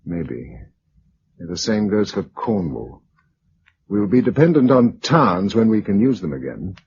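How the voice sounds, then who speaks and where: A man's voice: on the low side, big pitch swings, a little slow, a little mellow, slightly rough, full character voice. A man acting in a British radio drama as a practical, level-headed survivor, speaking in a clipped, matter-of-fact way with a British accent. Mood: urgent, grave. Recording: dull lo-fi radio recording, faint noise.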